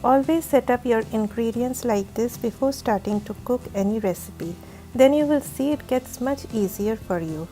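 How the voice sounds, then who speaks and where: Cooking host, female, raspy and heavy from illness, slightly high voice, methodical instructional read. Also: normal speed, dark tone, soft background music. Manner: careful, step-by-step instructing. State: hoarse from a flu. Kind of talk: recipe voice-over narration.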